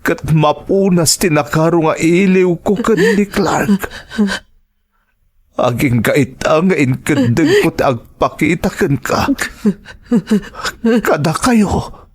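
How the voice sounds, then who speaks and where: A man's voice: fairly high pitch, animated, takes it slowly, long pauses, breathy edge, exaggerated voice. A man with an Ilocano Filipino accent playing a remorseful middle-aged husband in an audio drama, earnest and pleading. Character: remorseful returning husband and father pleading for forgiveness.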